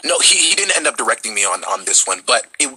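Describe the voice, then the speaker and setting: A man's voice: mid-range voice, sing-song, fast talker, pushed and bright, husky, low-quality recording. A man, a voice actor guest, chatting casually and rambling with many self-corrections. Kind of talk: casual chat.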